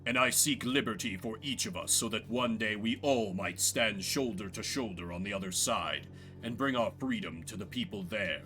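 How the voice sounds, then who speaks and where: A man voicing a grave, wise elder rebel leader in a game, speaking in a measured, formal, solemn manner. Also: lowish voice, pushed and bright, faint music behind.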